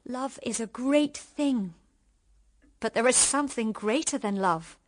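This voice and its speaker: A slow, fairly high voice in a dry studio: an actress as a self-sacrificing sister in a radio play. She is grave, earnest and resolute. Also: no room sound, natural voice. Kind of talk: radio drama acting.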